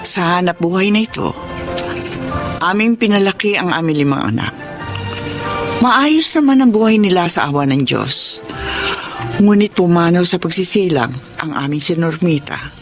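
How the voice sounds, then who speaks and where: An animated, mid-pitched voice from an actress plays an elderly grandmother in a radio drama. She is caring, devoted and expressive, with soft music in the background. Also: moderate pace, long pauses, lightly acted. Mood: reflective. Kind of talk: radio drama acting and narration.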